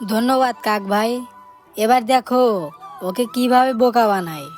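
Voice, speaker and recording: A woman's voice: on the high side, unhurried, a little mellow, close to an everyday voice. A woman voicing a clever, protective mother hen in a cartoon, knowing and motherly. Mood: confident plotting. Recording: music behind the voice.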